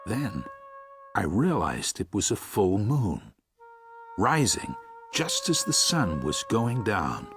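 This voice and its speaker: An actor playing a frail, gentle old preacher in a radio drama, reminiscing in a ruminative, gently wry way. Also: male voice, deep voice, exaggerated voice. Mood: reflective, wistful. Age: older. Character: frail, gentle, reflective elderly preacher, fond father. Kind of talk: radio drama, intimate first-person reminiscence.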